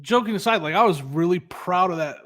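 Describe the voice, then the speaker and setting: An upbeat man co-hosts a casual podcast, chatting and laughing often. His voice is fairly high for a man, and he sounds proud when he talks about his finds. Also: animated, brisk, a bit bright. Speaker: podcast co-host. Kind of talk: casual chat.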